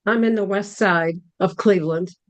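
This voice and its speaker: A woman from the United States with a medium-pitched voice talks casually. She sounds like an everyday participant in a group discussion. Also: moderate pace. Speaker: session participant. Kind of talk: casual chat.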